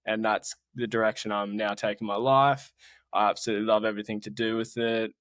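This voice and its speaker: A man's voice: fairly low pitch, flat delivery, a little fast, a bit bright, dry recording. A young Australian man with an Australian accent, a guest talking about his life in a casual interview, earnest and laid-back. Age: young adult. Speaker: mountaineer guest.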